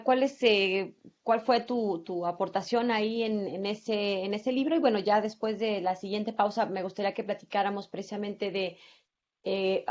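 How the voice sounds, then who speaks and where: A woman's voice: medium pitch, darkish tone. Woman host asking questions, dull sound. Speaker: interviewer host. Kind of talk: interview questions.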